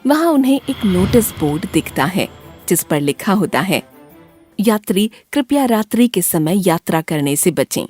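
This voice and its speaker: A woman reading scripted narration for an animated storybook horror tale. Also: on the low side, moderate pace, soft background music.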